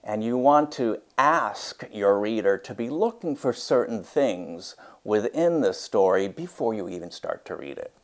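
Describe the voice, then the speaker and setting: A man teaching and reading a storybook aloud with deliberate, emphatic, expressive phrasing. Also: lowish voice.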